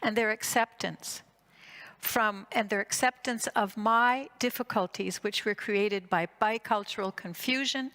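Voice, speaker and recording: Woman, 60s, raised between Greece and Canada. Conference speaker, psychotherapist; mid-pitched voice, deliberate phrasing, prepared personal lecture. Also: fairly bright tone. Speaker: psychotherapist giving a conference talk.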